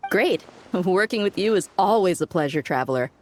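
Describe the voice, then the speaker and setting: A woman's voice: fairly low pitch, animated, normal speed, a little hiss or hum, natural voice. A woman playing a breezy, cocky desert mercenary in a video game, talking in a casual, teasing way. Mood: easygoing, confident.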